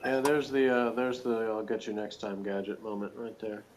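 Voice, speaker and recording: A man's voice: fairly low pitch, fairly even intonation, normal speed, a little mellow, a little hiss or hum, rough recording. Joking man in casual commentary. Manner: slangy, joking, teasing. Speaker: co-host of a review show. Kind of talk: casual chat, TV episode commentary.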